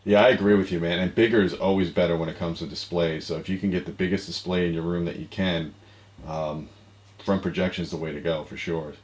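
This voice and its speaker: Low-voiced American man hosting. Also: steady tone, fast talker. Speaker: show host. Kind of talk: casual video show hosting. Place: home office.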